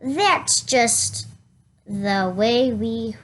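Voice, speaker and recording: A chatty wolf from a children's storybook , read by a woman makes his excuses in a halting, protesting way. The voice is slightly high and slow, with faint noise behind it. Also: a bit bright. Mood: defensive. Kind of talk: children's storybook read-aloud in first person.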